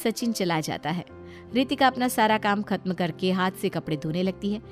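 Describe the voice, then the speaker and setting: A woman's voice: medium pitch, normal speed, soft background music. A woman narrating a scripted story as a storyteller.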